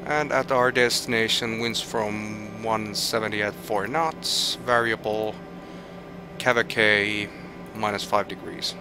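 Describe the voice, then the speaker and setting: A man's voice: on the low side, fairly even intonation, slow pace, over music. A man with a Finnish accent streaming a flight simulator, thinking aloud and reading out weather and route figures with hesitant restarts. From Finland. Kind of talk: live gameplay commentary, thinking aloud.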